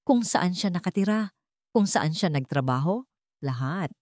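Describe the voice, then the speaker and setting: A woman's voice: lowish voice, expressive, unhurried, darkish tone, dry recording, clean studio sound. Woman voicing a terse, brooding Filipino businessman. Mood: urgent, commanding. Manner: terse, commanding. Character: curt, brooding wealthy young businessman, commanding and guarded. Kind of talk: dramatic audio story.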